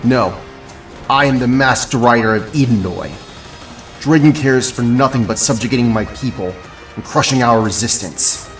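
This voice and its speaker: A man's voice: medium pitch, moderate pace, lightly acted. Earnest alien hero prince guy acting, proper and polite, mid voice, bit of music under it. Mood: noble, earnest. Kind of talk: audio drama acting.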